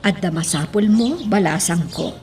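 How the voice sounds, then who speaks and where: A woman's voice: fairly low pitch, moderate pace, lightly acted. A woman playing a caring, reassuring mother in a radio drama, with an Ilocano accent. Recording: faint birdsong and background noise.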